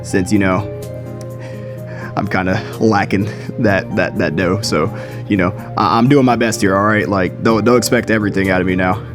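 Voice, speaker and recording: A man's voice: sits low, fairly even intonation, moderate pace. A young man in his late teens telling a story in a chatty, slangy, self-deprecating way. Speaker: video creator. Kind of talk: casual storytime vlog. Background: music.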